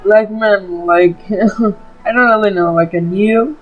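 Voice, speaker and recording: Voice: mid-range voice, fairly even intonation, measured pace. Casual, muffled gaming commentator. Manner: repetitive filler "like, man", impatient. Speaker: gaming YouTuber. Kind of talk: casual let's play commentary. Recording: dull lo-fi mic over game music. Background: faint game music.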